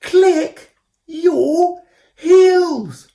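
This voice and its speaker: A man's voice: mid-range voice, sing-song, moderate pace, gravelly, pitched above the performer's own voice. A man voicing a bold, cheeky inventor girl in a storybook reading, breezy and teasing. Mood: shouting. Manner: breezy, teasing, shouting from afar. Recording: roomy echo.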